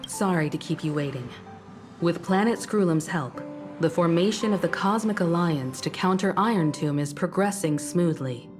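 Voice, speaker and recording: A woman voicing a calm, gracious, dependable crew leader in a video game, formal and composed. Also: lowish voice, moderate pace, close to an everyday voice. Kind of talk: video game story voice acting. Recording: echoey hall reverb, music behind.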